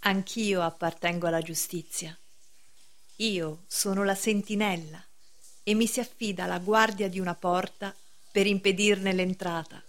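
An actress playing a solemn, severe preacher in a radio play, speaking in a measured, declamatory way. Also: female voice, mid-range voice, steady tone, close to an everyday voice. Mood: stern, oracular. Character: solemn, severe female preacher, cryptic gatekeeper of justice. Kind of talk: theatrical radio drama acting.

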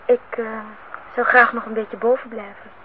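A woman's voice: a little high, level tone, moderate pace, natural voice. An actress playing a cheeky, probing young woman in a radio play, mocking her elders with pointed, formal questions. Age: young adult. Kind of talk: Dutch radio play acting. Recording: tinny, noisy old radio recording.

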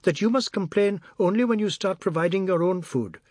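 A man voicing a sharp-tongued, scolding wife with a Caribbean accent in a narrated story. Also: a little high, big pitch swings, brisk, slightly rough, no echo, clean studio sound. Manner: chiding, blunt.

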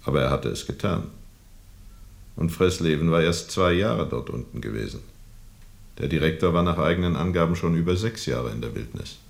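An actor playing a grave, seasoned sea captain who recounts a jungle river journey in a deliberate, brooding way for a radio drama. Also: male voice, sits low, expressive, vocal fry. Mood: sombre testimony. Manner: deliberate, brooding, measured storytelling. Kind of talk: radio drama narration, recounting under questioning.

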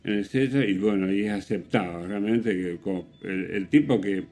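A man with an Argentine Spanish accent hosting a radio show, commenting casually on music with opinions in a rambling, halting way. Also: on the low side, a little mellow. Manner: rambling, hesitant with self-corrections and rhetorical questions. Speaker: radio host.

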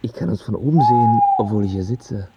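A man's voice: on the low side, animated, fairly quick, warm tone, slight background noise, natural voice. An actor playing a brooding, lovesick young man in a radio drama, earnest and declamatory. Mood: drunk, bleak. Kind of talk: German radio drama acting. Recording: dull audio over music.

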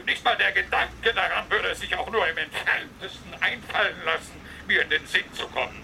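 High, sharp, creaky flustered official. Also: male voice, brisk, a little hiss or hum, full character voice. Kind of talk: German radio play acting.

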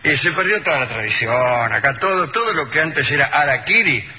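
A man, making absurd claims in a flat, matter-of-fact way. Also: on the high side, a little fast. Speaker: comic actor in an improvised sketch. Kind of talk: deadpan improvised comedy sketch. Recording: muffled lo-fi recording with faint noise.

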